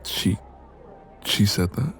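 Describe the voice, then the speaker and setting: A man playing an insecure, anxious young man confiding in a friend in an intimate audio roleplay, soft-spoken and hesitant. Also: takes it slowly, a little hiss or hum, close to an everyday voice. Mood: hesitant, apologetic.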